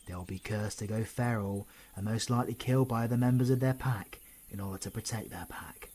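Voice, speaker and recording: A man's voice: lowish voice, darkish tone, slightly rough. A man with a British accent playing a menacing, cocky supervillain in an audio roleplay, taunting and swearing in slangy speech. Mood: cold, threatening. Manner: taunting, slangy, sweary, often hissing in whispers. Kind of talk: ASMR audio roleplay acting.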